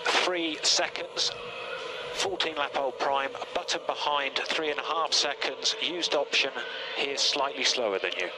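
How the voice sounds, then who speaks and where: A man's voice: mid-range voice, a little slow. Man on very noisy pit radio. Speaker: Formula 1 race engineer. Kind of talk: team radio briefing to driver. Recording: very noisy team radio with engine noise.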